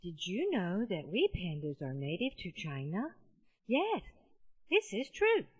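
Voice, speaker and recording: A woman's voice: fairly low pitch, wildly swooping pitch, measured pace, slightly rough, full character voice. A woman voicing a friendly giant panda in a children's audiobook, playful and talking straight to young listeners. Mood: playful, proud.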